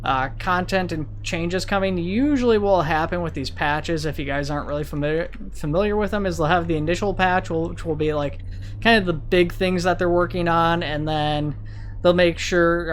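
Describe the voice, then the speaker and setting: A video game streamer giving casual, rambling commentary while thinking aloud. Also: medium pitch. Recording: vehicle and siren noise behind voice. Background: game sirens and vehicle sounds.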